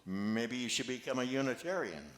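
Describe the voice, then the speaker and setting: A man's voice: medium pitch, lively intonation, moderate pace, a bit bright, close to an everyday voice. An older man reading the part of an amiable retirement-home resident in an amateur play, in a mild conversational way. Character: amiable old male retirement-home resident, mildly upbeat. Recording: echoey room.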